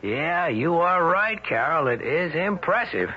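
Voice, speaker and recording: An actor playing an enthusiastic, smooth, well-mannered husband in an old-time radio drama. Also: male voice, a little high, big pitch swings, a little slow, sharp tone, heavily acted. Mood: persuasive. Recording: dull old radio recording.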